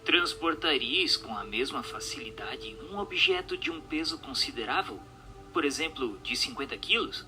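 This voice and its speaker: A man's voice: mid-range voice, expressive, normal speed, bright, forceful tone, faint music behind, poor audio. A man doing a dramatized audiobook reading in a formal, declamatory manner. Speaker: narrator. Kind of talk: dramatized audiobook reading of questions, answers and notes.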